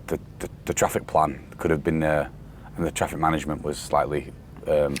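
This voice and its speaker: A man from the UK chatting casually on a podcast, rambling with fillers and restarts, his voice worn from shouting. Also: extremely low pitch, level tone, a little fast. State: voice going from shouting. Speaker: podcast host, security company boss. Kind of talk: casual chat. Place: outdoors on a festival site during breakdown. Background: faint site noise and vehicles.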